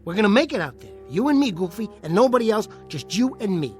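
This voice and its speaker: An actor playing an uneasy nightclub ventriloquist in a radio play. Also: male voice, high-pitched, big pitch swings, quick pace, over music. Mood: troubled. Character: uneasy, troubled nightclub ventriloquist doing straight-man patter.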